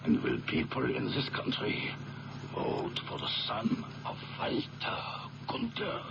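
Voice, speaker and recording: An actor playing a sly, menacing blackmailer, needling and falsely polite, in 1970s radio drama. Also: male voice, fairly low pitch, wildly swooping pitch, vocal fry, highs cut off, heavily acted. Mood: sly menace. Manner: slow, needling, pointed questions. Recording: band-limited, noisy old radio recording.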